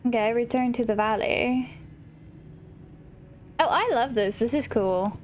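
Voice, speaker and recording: A woman hosting a gaming video, chatting casually and slowly as she reacts to the game aloud. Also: a little high, a little hiss or hum. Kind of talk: casual let's play commentary with dialogue read aloud.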